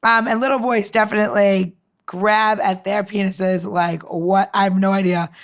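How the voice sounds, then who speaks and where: A woman's voice: medium pitch, fairly even intonation, normal speed. A woman in her thirties hosting a podcast, chatting casually and laughing as she acts out little quoted voices. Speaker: podcast host.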